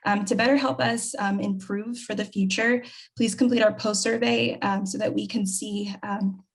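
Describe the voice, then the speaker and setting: A woman's voice: medium pitch, fairly even intonation, normal speed, creaky, slightly rough. A young woman presenter closing an online conference session over a video call, with frequent ums. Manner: hesitant, many ums. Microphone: laptop mic on a video call.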